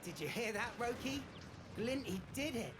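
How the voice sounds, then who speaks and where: An actor playing an excitable, loyal street kid in a video game, talking in cheery, informal slang. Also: medium pitch, moderate pace, background noise, lightly acted. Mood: excited. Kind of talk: video game character acting.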